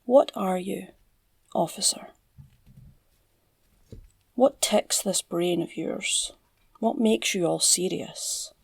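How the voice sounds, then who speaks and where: A woman with a Scottish accent playing an obsessive, flirtatious female villain in an ASMR roleplay, teasing and drawling in a breathy, gravelly voice. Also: lowish voice, takes it slowly, long pauses, dry recording, clean studio sound, full character voice. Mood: intrigued, musing. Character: obsessive, flirtatious, psychotic female villain, captured crime boss. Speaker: audio roleplay voice actor.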